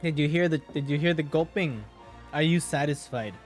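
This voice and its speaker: A man with a deep voice chatting casually with his stream viewers, joking and reading their messages aloud. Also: moderate pace, faint music behind.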